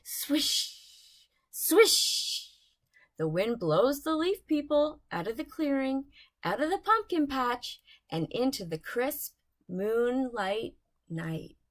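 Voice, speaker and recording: A woman's voice: sits high, sing-song, slow. A woman reading bedtime storybooks aloud to young children, expressively, with dramatic emphasis on key words.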